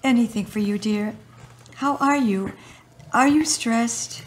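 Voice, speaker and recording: A middle-aged woman playing a tender, consoling mother in a radio drama, with a husky voice. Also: fairly high pitch, slow pace. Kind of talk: amateur radio drama acting.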